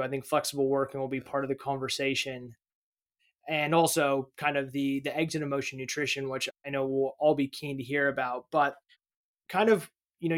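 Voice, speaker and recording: A man hosting a podcast, reading a prepared intro and then asking questions in a chatty way with a few restarts. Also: fairly high pitch. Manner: reads a scripted intro, then chats with restarts and fillers. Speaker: podcast host. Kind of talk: podcast interview.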